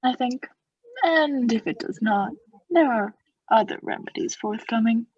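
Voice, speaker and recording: A woman acting a coolly scheming aristocrat in a radio play, formal and measured, feigning friendly concern. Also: on the high side, animated, darkish tone. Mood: sly, courteous. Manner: formal, measured, slyly solicitous. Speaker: student actor in a radio play. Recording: echoey, slightly muffled recording.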